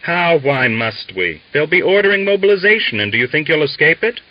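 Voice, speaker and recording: A man's voice: a little high, very animated, fairly quick, no room sound, muffled recording, pitched above the performer's own voice. A man voicing a hot-headed, impatient young Cossack revolutionary in an audiobook, with urgent, snappish, goading delivery. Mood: inciting. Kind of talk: dramatised audiobook dialogue.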